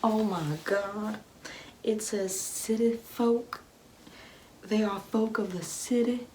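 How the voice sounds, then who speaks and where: A woman playing a sanctimonious, Bible-quoting Karen mother in an ASMR comedy roleplay, condescending and preachy, often dropping into a breathy whisper. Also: medium pitch, steady tone, slow, warm tone, a little hiss or hum, lightly acted. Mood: scolding, indignant.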